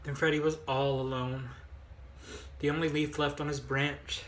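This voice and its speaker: Guy reading a story pretty flat, echoey room. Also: on the high side, normal speed. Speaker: amateur reader, choir member. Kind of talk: storybook narration. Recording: roomy, echoing sound.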